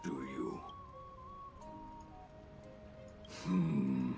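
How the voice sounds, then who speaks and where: A man voicing an ancient, solemn master craftsman in a video game, speaking gravely in inverted, halting phrases. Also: deep voice, far from the mic, exaggerated voice. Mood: gruff, ponderous. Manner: grave, inverted word order, halting delivery.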